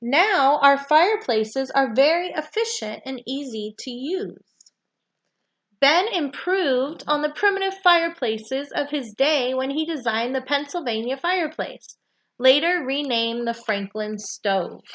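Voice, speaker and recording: A woman's voice: sits high, a bit bright. A woman reading a children's nonfiction book aloud as narration.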